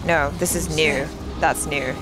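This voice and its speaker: A woman's voice: low voice, measured pace, low-quality recording. A young woman on a headset mic giving casual, exclamatory reactions to game cutscenes. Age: young adult. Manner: expressive, exclamatory. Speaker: reaction show host. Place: indoor studio set. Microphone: headset microphone. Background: loud game music and action sounds.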